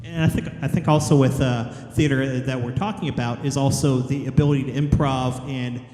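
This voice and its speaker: A man moderating a convention panel, putting questions to the guests in a halting way. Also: medium pitch, steady tone, warm tone. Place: large convention hall. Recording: echoey hall with long reverb.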